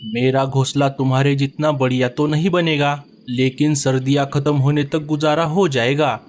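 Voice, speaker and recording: A man's voice: mid-range voice, level tone, normal speed, slight background noise, lightly acted. A man voicing a friendly male bird in a cartoon story, speaking politely and gratefully.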